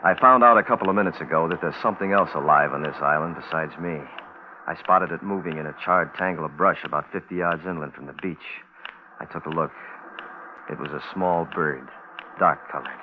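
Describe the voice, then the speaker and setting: An actor playing a terse Navy photographer in a radio suspense drama, with a clipped, grave, measured delivery. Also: male voice, extremely low pitch. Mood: grave, tense. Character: terse Navy photographer man stranded alone on a bomb-test island. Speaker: lead actor and narrator. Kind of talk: radio suspense drama, first-person log narration. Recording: muffled, noisy vintage radio broadcast recording.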